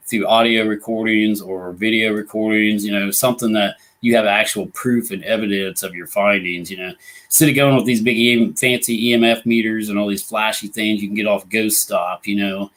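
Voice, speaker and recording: Rambly low-voiced Midwestern man host. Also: a bit bright, low-quality recording. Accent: Midwestern American. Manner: rambling, lots of "you know" and "uh" fillers. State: recovering from illness, voice coming back. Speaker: host of a ghost hunting talk show. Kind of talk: casual live talk show chat.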